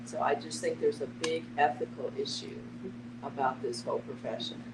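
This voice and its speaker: A woman's voice: extremely low pitch, steady tone, moderate pace. A woman giving a frank, critical personal account as a participant in a group discussion. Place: echoey room, away from the microphone. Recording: very noisy, echoey, distant microphone.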